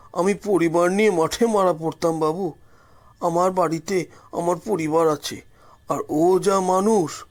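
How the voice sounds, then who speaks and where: A man's voice: high-pitched, a little mellow. A man voicing a frightened, apologetic village gatekeeper in an audio story, deferential and pleading, repeating himself. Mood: fearful, apologetic.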